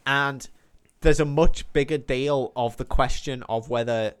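A man's voice: medium pitch, measured pace, clear, resonant tone. British man, podcast host, casual conversational delivery, middle-range voice. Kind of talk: casual chat.

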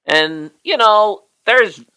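Bright, creaky-voiced male podcast host. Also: sits high, lively intonation. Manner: easygoing, chuckling, reads poem slowly and deliberately. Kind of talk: casual podcast chat and poetry reading.

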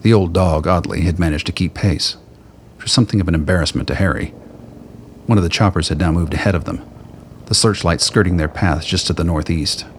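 Male narrator for radio drama, very low register, scripted storytelling, faint noise behind. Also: brisk.

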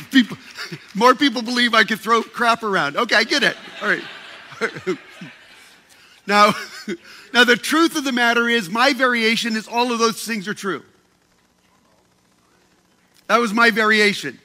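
Male speaker for a group talk: playful and self-mocking, bright and sharp in tone, stumbles and repeats as he goes. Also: extremely high pitch, measured pace, long pauses, slight background noise. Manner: playful, joking, often repeats and restarts words. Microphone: handheld microphone.

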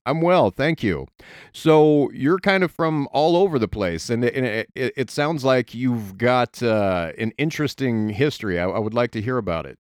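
A man hosting an interview, speaking in a polite, measured way. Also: medium pitch, expressive, dry recording, studio quality. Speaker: podcast host.

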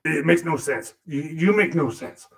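A man's voice: a little high, moderate pace, close to an everyday voice. A man voicing a crude, sarcastic male demon puppet who grumbles and swears through a comic monologue.

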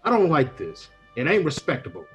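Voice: mid-range voice, huge pitch swings, brisk, breathy edge, faint music behind, heavily acted. An actor playing a wary, streetwise small-time male informant in a comic old-time radio play, slangy and tough-talking. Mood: grumbling, uneasy.

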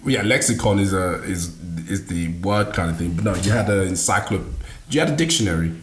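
Halting man from Nigeria, casual chat. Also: deep voice, animated, slight background noise. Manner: halting, many restarts and fillers, laughs often. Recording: echoey room.

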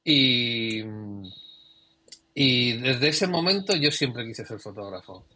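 A man's voice: medium pitch, animated, slow pace, sharp tone, slightly rough. A middle-aged man from Spain, a professional photographer, talking reflectively about his career in an interview, with trailing restarts. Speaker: guest photographer. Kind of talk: conversational interview.

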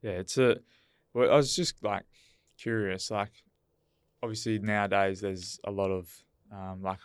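Man, low pitch, clear smooth voice, dry sound, rambling casual questions. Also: steady tone, measured pace, no room sound. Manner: rambling questions with many restarts and "like". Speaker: podcast co-host. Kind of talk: casual interview chat.

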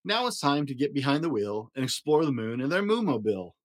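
A man hosting a story podcast, reading a rhyming children's picture book aloud in an expressive, rhythmic way. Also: mid-range voice, a little fast. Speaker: podcast host and story reader.

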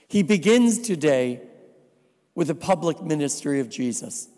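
A man from New Jersey preaching a sermon as a visiting priest, slow and deliberate with dry asides. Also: fairly high pitch, warm tone. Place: church. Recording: reverberant church hall.

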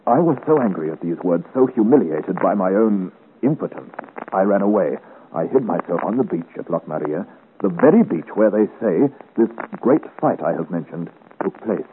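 A man's voice: low-pitched, lively intonation, moderate pace. An actor playing an earnest, gallant young swordsman in a 1950s radio serial, speaking in formal, courtly period diction. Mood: impassioned. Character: earnest, gallant young swordsman of great size, seeking his father's name. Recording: muffled, hissy old radio serial recording.

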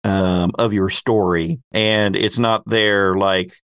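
Low-voiced man narrating a dark story, quick-paced. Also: fairly even intonation, clear, resonant tone, no room sound, studio quality. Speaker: game master, host. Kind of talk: dramatic narration of a tabletop roleplaying game.

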